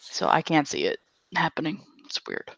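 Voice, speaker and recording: A woman's voice: deep voice, very animated, normal speed, creaky, fairly bright tone, breathy edge, dry recording. A young woman chatting casually as a podcast guest, giving her opinions bluntly and emphatically. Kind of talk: casual chat. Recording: dull, lo-fi, close dry audio.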